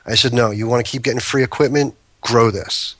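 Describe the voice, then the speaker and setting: Man guest, bright, forward voice, dry close studio sound, rambling storyteller who stops and restarts. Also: mid-range voice, fairly even intonation, brisk, no echo. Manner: rambling, self-interrupting anecdotes. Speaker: guest, cannabis breeder. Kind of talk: casual storytelling chat.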